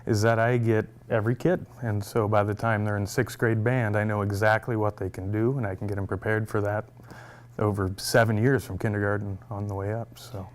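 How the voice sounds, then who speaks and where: A man's voice: lowish voice, little pitch movement, a little fast. A young man, a music teacher, answering interview questions in a friendly, upbeat way and laughing easily.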